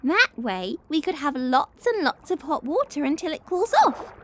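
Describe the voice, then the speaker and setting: Woman playing an eager, high-pitched little seapony girl. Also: sing-song, normal speed, fairly bright tone. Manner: childlike, informal, eager explaining. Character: bright little female seapony, eager young tinkerer.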